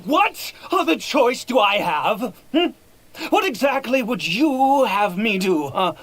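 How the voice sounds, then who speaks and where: A man's voice: very high-pitched, big pitch swings, a bit bright, full character voice. Unstable ancient nobleman, wildly swooping delivery. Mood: bitter, agitated. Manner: theatrical, halting, erratic swings between whispers and outbursts. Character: ancient cursed nobleman, frail, unstable, desperate, swinging between giddy and brooding. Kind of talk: dramatic video game voice acting. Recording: game audio with faint music behind.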